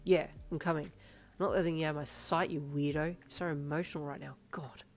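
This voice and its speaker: A woman playing a young man, teasing, placating, bewildered, slightly low. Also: steady tone, brisk. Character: young man, teasing then confused partner.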